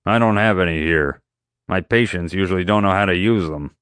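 A man puts on a gruff, crotchety animal-park vet, prickly and quick to growl back. He speaks a little higher and louder than the narrator's own low voice, close and dry. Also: dry recording.

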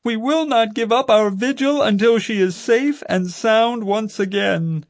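A man voicing a fervent male protest leader who proclaims his demands slowly and loudly. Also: sits high, dry recording, pitched above the performer's own voice.

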